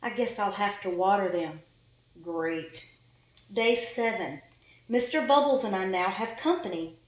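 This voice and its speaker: A woman's voice: medium pitch, animated, unhurried, natural voice. A woman voicing an exasperated, fussy pet goldfish in a dramatic children's storybook read-aloud. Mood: grumpy, deadpan. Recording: echoey room.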